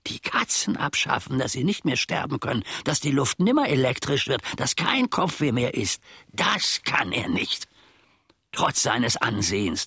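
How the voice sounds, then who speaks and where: An actor playing an aggrieved, brooding old manservant in a theatrical radio play, with stilted archaic diction and sudden drops into whispering. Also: male voice, mid-range voice, gravelly, bright, forceful tone, husky, dry recording, clean studio sound, exaggerated voice. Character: aggrieved, brooding old manservant scheming against his master.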